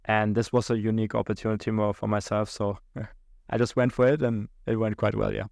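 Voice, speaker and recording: Man, German accent, young adult, gravelly, flat delivery, dry close sound. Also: lowish voice, dry recording. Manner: halting, many restarts and fillers, laughs between thoughts. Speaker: guest, account executive. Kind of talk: podcast interview.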